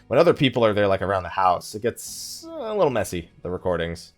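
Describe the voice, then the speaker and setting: A man with a slightly low, very animated voice talks through a game in a casual, rambling way. He thinks aloud and drifts onto side topics, with music playing underneath. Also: fairly quick. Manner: rambling, thinking aloud, often sidetracking himself. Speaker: gaming video creator and live streamer. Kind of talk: casual let's play commentary, rambling.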